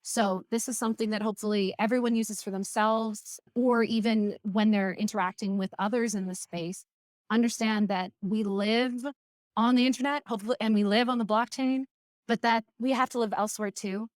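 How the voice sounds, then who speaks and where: A woman guest on a podcast who talks candidly and reflectively about work, lively and open. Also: on the high side, normal speed, no echo. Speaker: podcast guest, community team lead. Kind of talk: casual interview conversation.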